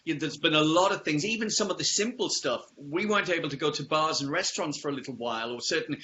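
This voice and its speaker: A man's voice: a little high, fast, bright, forceful tone, dull audio, a little hiss or hum. A man hosting a casual radio interview, chatty and effusive, asking rambling questions.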